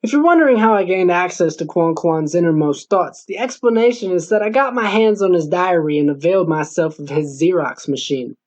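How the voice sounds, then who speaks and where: A man's voice: sits high, lively intonation, fairly quick, few pauses. A man reading scripted novel narration as an audiobook narrator. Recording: dull lo-fi recording.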